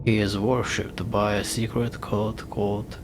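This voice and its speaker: A man reading informational notes aloud during a game playthrough, in a measured, deliberate way. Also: lowish voice, little pitch movement, over music. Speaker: gaming video host.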